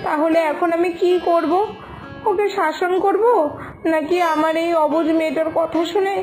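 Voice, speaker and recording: A woman playing a poor, loving village mother in an animated moral story, tender and lamenting as she gently scolds. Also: very high-pitched, moderate pace. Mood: anguished. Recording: music behind the voice.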